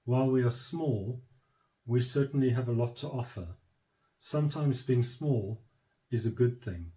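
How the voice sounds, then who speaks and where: A man speaking as a church leader in an earnest, measured address, pausing often between short phrases and turning firm on commitment. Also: mid-range voice, dark tone.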